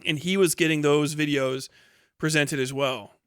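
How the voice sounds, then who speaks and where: A man's voice: a little high, steady tone, normal speed, clean tone, dry recording, clean studio sound. A man in his thirties doing casual livestream commentary and reading chat in a blunt, sweary, incredulous way. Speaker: live streamer.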